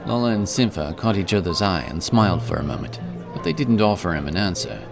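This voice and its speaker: A man's voice: low-pitched, big pitch swings, brisk, gravelly. A man narrating a scripted fantasy story. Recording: narration over prominent music. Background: music.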